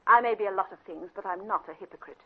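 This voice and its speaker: A bitter, plain-spoken English widow with a medium-pitched voice. She is cool and dry, wasting no sentiment. The sound is muffled, like a vintage radio serial. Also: quick pace, breathy edge. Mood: bitter, frank. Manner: dry, unsentimental. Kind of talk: classic British radio drama acting. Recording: dull vintage radio recording.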